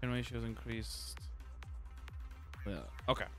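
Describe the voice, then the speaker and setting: A man streams a video game with casual, off-the-cuff commentary. His voice sits at a medium pitch, with game music playing behind it. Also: level tone, unhurried, a bit bright. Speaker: gaming streamer. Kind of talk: casual gameplay commentary, reading tutorials aloud.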